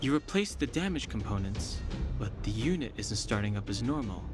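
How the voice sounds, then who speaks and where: An actor voicing a calm, analytical young man in a video game, measured and dry. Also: on the low side, close to an everyday voice. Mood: observant. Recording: game audio with clear music behind.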